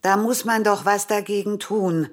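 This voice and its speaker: A woman's voice: mid-range voice, moderate pace, music in the background, close to an everyday voice. An actress playing a practical, fussing mother in radio drama dialogue. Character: practical, fussing mother settling her daughter into lodgings.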